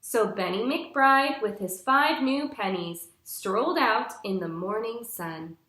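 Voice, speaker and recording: A woman in her twenties reading a picture book aloud to young children like a teacher, with deliberate, clearly phrased, instructive storytelling. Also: a little high, expressive, bright, forceful tone. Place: small living room, indoors. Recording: roomy indoor echo.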